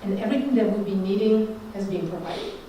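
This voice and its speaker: A woman's voice: medium pitch, fairly quick, dark tone. A middle-aged woman from Northern Italy with an Italian accent, a religious sister speaking informally and conversationally to a group. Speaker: religious sister giving a talk. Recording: very echoey room, distant mic, faint noise.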